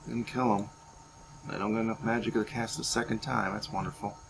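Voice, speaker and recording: A man with a fairly low voice commentates a roleplay game. He talks casually, drifting between muttered asides and theatrical in-character lines. There is faint noise and game ambience behind him. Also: level tone, a little fast, gravelly, poor audio. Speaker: gaming video host.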